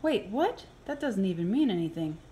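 A woman reading a children's picture book aloud in an expressive, playful way, with exaggerated reactions. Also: mid-range voice, normal speed. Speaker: early childhood program coordinator reading aloud. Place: indoors, filmed over an open book.

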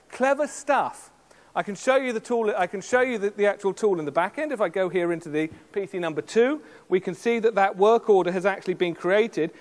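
Jokey high-voiced man presenting on stage. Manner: lively, jokey showman with sound effects. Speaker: keynote presenter. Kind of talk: live product demo on stage. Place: large conference hall stage. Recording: long hall reverb.

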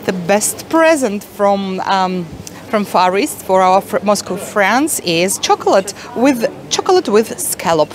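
Woman, middle-aged, native Russian, casual vlog, phone at arm's length, halting with fillers, crowd behind. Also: medium pitch. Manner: hesitant, many fillers, chatty asides to viewers. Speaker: vlogger. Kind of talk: casual travel vlog to camera. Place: busy indoor market hall.

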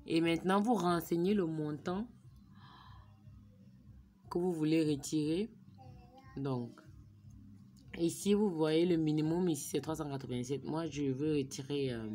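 A woman's voice: low-pitched, steady tone, a little slow, long pauses, dark tone, slight background noise. A woman narrating a phone screen recording as a tutorial, thinking aloud as she types in numbers and sometimes dropping to a murmur. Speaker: vlogger.

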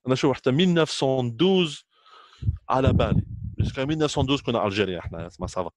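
A man in his thirties with an Algerian accent talks quickly and informally to the camera. He switches between languages and repeats points for emphasis. The sound is dry and close. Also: mid-range voice, expressive, dry recording. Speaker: trainer speaking to students.

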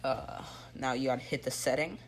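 A teenage boy hosting a joking homemade cooking show in a home kitchen, rambling deadpan through mock instructions with plenty of ums, filmed on a phone by a friend. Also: mid-range voice, fairly quick. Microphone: phone camera held by a friend. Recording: noisy home phone video.

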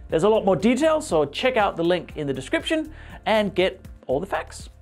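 Animated male tech news host, music behind. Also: high-pitched, moderate pace. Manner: animated, playfully wry. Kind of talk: scripted tech news presenting to camera. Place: small indoor studio. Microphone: lapel mic.

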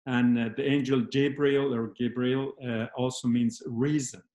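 A man speaks as a panel guest over a video call, unhurried and thoughtful, stopping often to find his words. His voice sits at an ordinary pitch. The room around him rings with noticeable echo. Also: level tone, darkish tone. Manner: slow, measured, many pauses and fillers. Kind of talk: reflective discussion on a video call. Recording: roomy video call audio.

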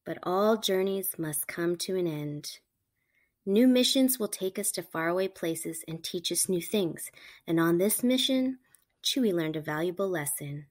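A woman reading a picture book aloud to children, with animated, emphatic storytelling delivery. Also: on the low side, a little slow. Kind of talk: expressive read-aloud narration for children.

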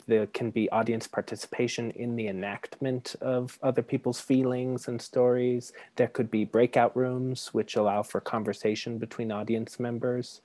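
A man in his thirties speaks as a panelist on a video call, explaining carefully and articulately. His voice sits a bit low and the sound is dry, picked up by earbuds. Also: dark tone, no echo. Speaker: panelist, theatre practitioner. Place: bright room at home, video call. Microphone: earbuds mic.

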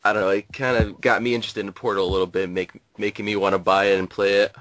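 A man's voice: fairly low pitch, level tone, fairly bright tone, a little hiss or hum. A man co-hosting a casual web show, talking in a hesitant way with many fillers and restarts. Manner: hesitant, halting with fillers and repeats. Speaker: podcast co-host. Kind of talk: casual chat.

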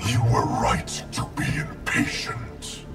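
A man playing a stern, ruthless warlord in a video game, with a gravelly voice and grave, formal delivery. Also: slow, natural voice. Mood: cold, grave. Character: stern, menacing male warlord, ruthless and commanding. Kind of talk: video game fight intro dialogue. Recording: prominent music behind.

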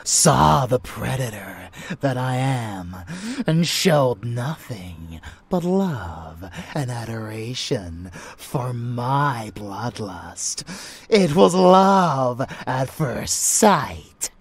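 A man acting as an unhinged, seductive male vampire lover in an intimate ASMR audio roleplay, breathy and often whispering, with teasing, menacing affection. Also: mid-range voice, big pitch swings, drawn-out words, no room sound, heavily acted. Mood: adoring, bloodthirsty.

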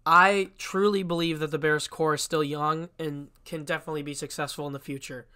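Young male podcast host, congested from a cold, fairly high and bright, casual and blunt. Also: fairly even intonation, fairly quick, smooth. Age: young adult. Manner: chatty and blunt, with frequent restarts. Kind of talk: casual solo sports podcast.

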